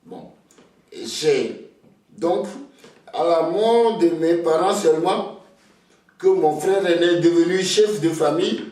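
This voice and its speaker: An older Togolese man whose first language is Ewe, speaking slowly and hesitantly, often repeating words as he starts a thought. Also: a little high, expressive, darkish tone, distant mic. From Togo. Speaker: interviewee, elder. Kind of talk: slow reflective storytelling. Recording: very echoey room, voice off-mic.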